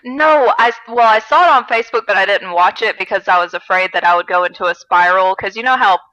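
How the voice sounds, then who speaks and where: A woman's voice: medium pitch, fast, bright, forceful tone, dull audio. A woman co-hosting a film podcast, chatting casually in an informal, wry way. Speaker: podcast co-host.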